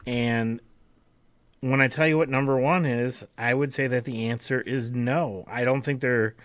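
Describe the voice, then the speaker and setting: A man's voice: mid-range voice, fairly even intonation, unhurried, no echo. A man co-hosting a podcast, chatting casually and informally. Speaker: podcast co-host. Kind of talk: casual chat, reading out a countdown list.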